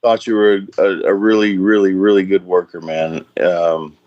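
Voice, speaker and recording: A man in his forties with a low voice and a Southern US accent chats casually as a podcast host. He is folksy and swears freely, on dry, slightly dull call audio. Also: level tone, moderate pace, no room sound. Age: 40s. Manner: folksy, profane, peppers talk with "man". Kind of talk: casual chat between old wrestling colleagues. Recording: muffled, band-limited podcast call audio.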